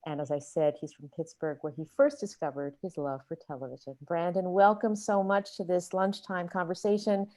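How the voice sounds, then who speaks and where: A woman's voice: on the low side, steady tone, moderate pace, few pauses, mellow, no room sound. A woman introducing the guest host of a lunchtime talk over a video call.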